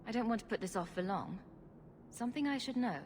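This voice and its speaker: A woman's voice: on the high side, expressive, quick pace, a little mellow, slight background noise, close to an everyday voice. A woman playing a confident, practical adventurer in a video game, speaking in a wry, direct way. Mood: businesslike. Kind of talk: video game dialogue acting.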